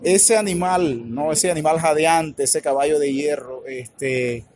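A man with a Colombian Caribbean accent, answering interview questions in a halting, thoughtful way with many filler words. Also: a little high, darkish tone. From Colombia's Caribbean region. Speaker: author and academic guest. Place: radio booth at a book fair stand.

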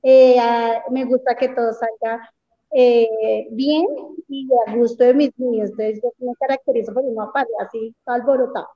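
A woman's voice: fairly high pitch, fairly even intonation, moderate pace, highs cut off. A woman preschool teacher introducing herself in a cheerful, spontaneous way. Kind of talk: casual self-introduction.

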